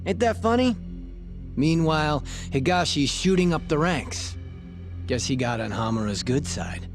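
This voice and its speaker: A man's voice: fairly high pitch, animated, measured pace. An actor playing a cagey, teasing male street informant in a video game cutscene, with slangy, sardonic delivery. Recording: music behind the voice. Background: music.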